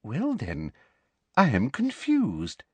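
A man's voice: medium pitch, huge pitch swings, slow, slightly rough, dry recording, pitched above the performer's own voice, full character voice. A man voicing a falsely sweet, meddling woman official in an audiobook, honeyed, simpering and over-polite. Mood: politely needling.

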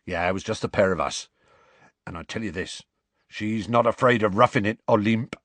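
Gruff half-giant groundskeeper, man doing the voice, low, husky, rustic dialect. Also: moderate pace, a bit bright, no echo. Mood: storytelling.

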